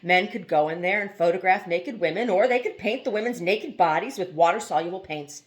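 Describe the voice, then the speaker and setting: A woman's voice: lowish voice, brisk. A woman reading a novel aloud as scripted narration, now and then stumbling over a word. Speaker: amateur audiobook reader.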